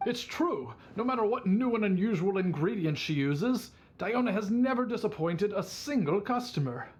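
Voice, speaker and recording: An actor playing a good-natured old male tavern drinker in an anime game, polite and theatrically flattering. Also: high voice, moderate pace. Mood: gushing. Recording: game music behind the voice.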